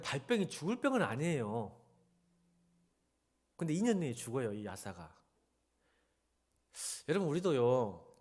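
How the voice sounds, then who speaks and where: Male pastor, sermon delivery, highly animated pitch swings, conversational exhortation with pointed rhetorical questions. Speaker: senior pastor. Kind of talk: church sermon with Bible reading.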